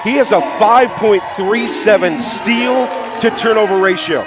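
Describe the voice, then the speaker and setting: A man's voice: sits high, measured pace, raised voice, over music, crowd noise. An American man hosting pregame basketball commentary on radio, emphatically rattling off statistics. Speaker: sports radio play-by-play host.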